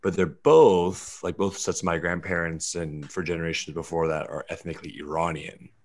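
A man's voice: low-pitched, steady tone, moderate pace. A young man from West Virginia, a guest filmmaker and actor, talking casually in an interview and rambling with fillers and restarts. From West Virginia, USA. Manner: rambling, self-correcting, many fillers.

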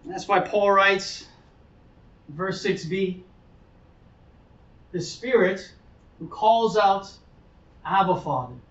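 A man's voice: high voice, slow pace, long pauses, a bit bright. A man preaching a sermon as a pastor, teaching conversationally and earnestly, repeating phrases for emphasis. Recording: roomy, distant mic, dull sound.

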